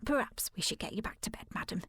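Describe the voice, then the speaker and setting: A woman's voice: fast talker, fairly bright tone, no room sound, whispered, close to an everyday voice. A woman playing a prim, disapproving housekeeper in a period audio drama, stern and proper. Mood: worried, disapproving. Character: prim, disapproving female housekeeper, protective of her master.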